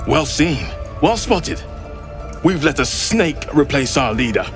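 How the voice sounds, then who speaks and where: Troubled, expressive druid. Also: male voice, a little high, slow pace, faint music behind, heavily acted. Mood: worried, indignant. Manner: earnest, formal. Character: troubled, earnest male druid, loyal and worried. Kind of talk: fantasy video game dialogue.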